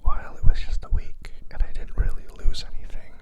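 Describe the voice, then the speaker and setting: A person whispering a rambling, hesitant personal confession, sounding sad and downcast and often repeating themselves. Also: brisk. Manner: whispering throughout.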